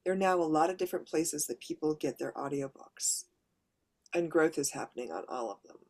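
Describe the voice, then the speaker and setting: A woman with a mid to lower voice who narrates audiobooks, giving a practical, conversational workshop presentation to writers. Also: expressive, fairly quick, a little mellow. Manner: practical, conversational, explaining step by step.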